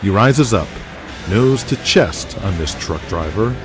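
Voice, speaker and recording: A man's voice: fairly low pitch, expressive, slow pace. A man narrating a scripted audio drama as the storyteller. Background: music with guitar.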